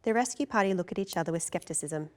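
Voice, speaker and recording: A woman's voice: fairly low pitch, lively intonation, fast talker, a little mellow. A woman reading scripted narration and stage directions as narrator at a table read.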